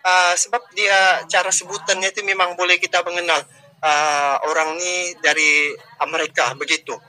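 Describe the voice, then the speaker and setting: A man's voice: sits high, little pitch movement, moderate pace, bright, forceful tone, low-quality recording. A man with a Malaysian Malay accent hosting a reaction video, chatting casually with frequent fillers. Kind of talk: casual commentary.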